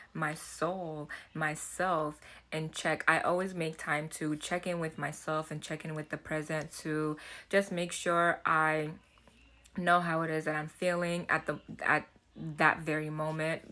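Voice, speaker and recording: A woman with a Haitian accent speaks in a notably low register, her tone bright and forward. She talks to camera in an earnest, chatty way, circling back and repeating words as she thinks aloud. The delivery is relaxed and personal, like a vlogger sharing advice. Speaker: self-development vlogger. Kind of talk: casual talk to camera.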